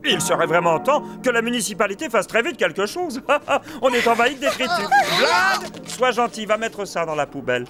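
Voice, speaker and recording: A man's voice: very high-pitched, sing-song, normal speed, few pauses. A man voicing a cheerful, eager and polite vampire father in a cartoon. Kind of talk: cartoon voice acting. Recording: music under the voice.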